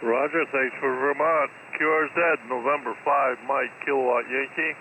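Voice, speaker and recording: A man's voice: mid-range voice, fairly even intonation, moderate pace, sharp tone. A man working a radio contest, giving clipped procedural exchanges with phonetic spelling, heard over shortwave. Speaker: amateur radio contest operator. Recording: noisy shortwave radio reception with clicks.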